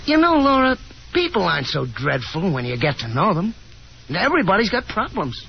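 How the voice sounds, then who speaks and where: A boyish, encouraging young man talks in folksy exclamations, his pitch moving up and down freely. The 1950s radio drama recording is a bit dull and faintly hissy. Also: huge pitch swings, a little fast, gravelly, exaggerated voice. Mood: friendly, encouraging. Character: friendly, confident young man, encouraging gentleman caller. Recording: muffled 1950s radio broadcast, hissy noise.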